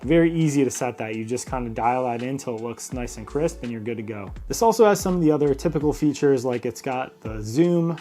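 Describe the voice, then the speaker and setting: A man's voice: medium pitch, dark tone. A man giving a casual, informal product review, explaining features step by step as a tech reviewer.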